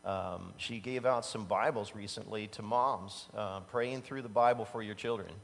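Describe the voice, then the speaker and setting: A man's voice: fairly low pitch, moderate pace, creaky, a little hiss or hum. A man in his fifties preaching a casual, conversational sermon from a church pulpit, telling personal stories and chuckling at himself. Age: 50s. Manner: animated storytelling, laughs at his own stories. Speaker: pastor. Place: large church sanctuary stage. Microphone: standing at a pulpit mic, far camera. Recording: long reverb from a church hall.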